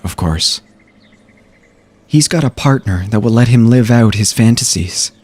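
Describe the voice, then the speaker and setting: Man, low voice, dramatic horror narration, emotional acted delivery, faint music behind. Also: darkish tone, no echo. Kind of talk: dramatic first-person horror story narration.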